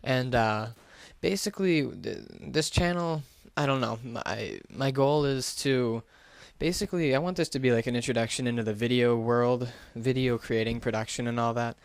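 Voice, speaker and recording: A man's voice: mid-range voice, moderate pace, gravelly, smooth, no echo. A man giving a casual, unscripted gaming commentary into a gaming headset, talking in a hesitant, rambling, self-deprecating way. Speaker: gaming YouTuber.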